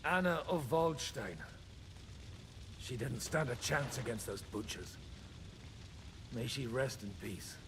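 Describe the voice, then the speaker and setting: An actor playing a sober, cautious medieval nobleman in a video game, with an expressive, solemn delivery. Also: mid-range voice, takes it slowly, long pauses, slight background noise. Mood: grave, sorrowful. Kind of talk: dramatic video game dialogue.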